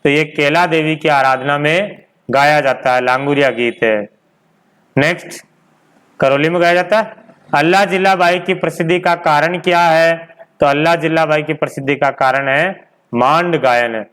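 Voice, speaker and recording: A man's voice: a little high, moderate pace, a bit bright, clear, resonant tone. A man teaching an informal exam-prep class, repeating key points and posing questions that he answers himself.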